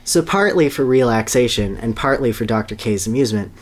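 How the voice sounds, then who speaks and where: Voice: medium pitch, expressive, brisk. A podcast host gives a dramatic reading of a story, with casual asides and an amused manner. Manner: amused, breaks into laughter while reading.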